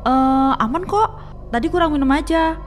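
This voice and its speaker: Pendaki muda yang ketakutan , diperankan seorang wanita. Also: female voice, sits high, normal speed, faint music behind, natural voice. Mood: shaken. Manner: shaken, insisting she is fine. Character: frightened young female hiker. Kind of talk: animated horror story voice acting.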